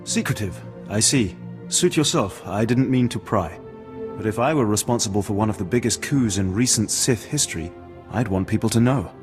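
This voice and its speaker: An actor playing a courteous, flirtatious Sith guard in a video game, with formal, polished delivery. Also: male voice, lowish voice, moderate pace, over music, close to an everyday voice. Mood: curious, flirtatious. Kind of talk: video game cutscene acting.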